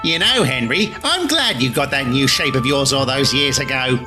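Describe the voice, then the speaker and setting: A man's voice: mid-range voice, lively intonation, normal speed, music in the background, natural voice. A man voicing a devious, bullying diesel engine in a cartoon dub, sly and mocking. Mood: sly taunting. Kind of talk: cartoon-style character dub.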